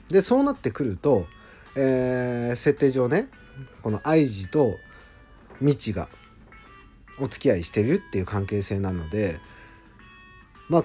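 A man's voice: mid-range voice, darkish tone, husky. A man hosting a casual solo behind-the-scenes talk, speaking politely with enthusiastic emphasis.

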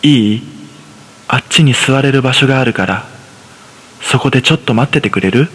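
A man playing a gentle, protective single mother in a horror audio story, with a motherly manner. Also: mid-range voice, moderate pace, husky. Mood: urgent. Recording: long reverb on the voice.

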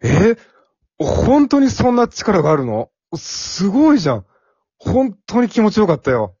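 A man's voice: sits high, huge pitch swings, unhurried, mellow, husky, no echo, highs cut off, heavily acted. A man playing a timid, earnest young office worker in an amateur radio drama, with plain boyish phrasing. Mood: grateful, amazed.

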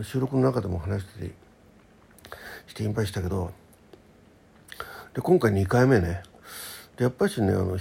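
Guy with a really dark husky voice, chatting solo on his radio show, kinda rambly, pitch moves around a lot. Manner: halting, rambling, self-correcting. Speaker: internet radio host. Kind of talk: casual solo chat.

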